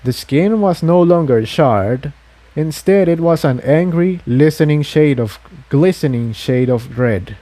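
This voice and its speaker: A man's voice: fairly high pitch, animated, a little slow, dark tone, no echo. A man reading an adventure story aloud as a scripted narration.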